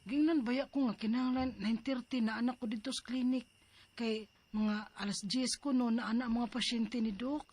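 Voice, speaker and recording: A woman's voice: a little high, fairly even intonation, normal speed, a little mellow, breathy edge. A woman telling a dramatized first-person story in a plaintive, confiding way. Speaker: storyteller narrating as the letter-sender.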